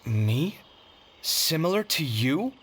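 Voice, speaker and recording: A man's voice: on the high side, big pitch swings, takes it slowly, a bit bright, a little hiss or hum, full character voice. An actor playing an earnest, bewildered young man in a game, agitated and questioning. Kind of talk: dramatic game voice acting.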